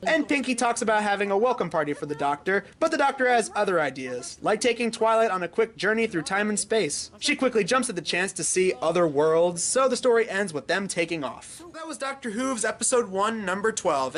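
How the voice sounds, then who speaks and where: Mid-pitched reviewer reading a scripted recap. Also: lively intonation, a little fast, few pauses, a bit bright.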